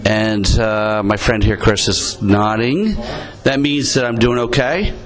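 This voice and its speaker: A man's voice: fairly low pitch, a little slow. A middle-aged Texan man hosting a podcast, talking in a loose, rambling way with lots of swearing and fillers. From Texas. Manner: laid-back, swears a lot, lots of fillers. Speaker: podcast host. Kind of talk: casual rambling chat.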